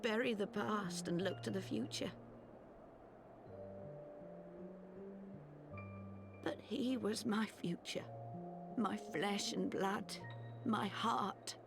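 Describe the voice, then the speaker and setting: A woman's voice: mid-range voice, vocal fry, music in the background. A woman playing a grieving, embittered mother in a fantasy video game. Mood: bitter grief. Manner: sorrowful, slow, formal wording.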